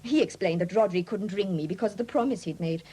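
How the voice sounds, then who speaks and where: A woman's voice: mid-range voice, fast, dark tone, husky, lightly acted. An actress playing a flighty, defensive young woman in a 1970s radio drama, breezy and evasive under questioning. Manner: breezy, evasive, indignant when challenged. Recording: hissy old 1970s radio broadcast recording.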